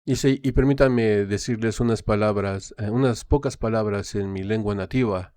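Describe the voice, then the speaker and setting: A man's voice: lowish voice, mellow, dry recording. A man hosting a reaction video, translating on the fly and hesitating often with fillers. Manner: hesitant, filling pauses with "eh" and "este" while translating. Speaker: reaction channel host. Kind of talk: casual live translation and commentary.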